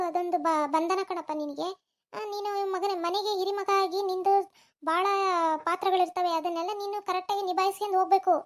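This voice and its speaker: A woman voicing a worried middle-aged mother who nags and pleads with her grown son, in a home-made cartoon. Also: extremely high pitch. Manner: nagging, pleading, lamenting.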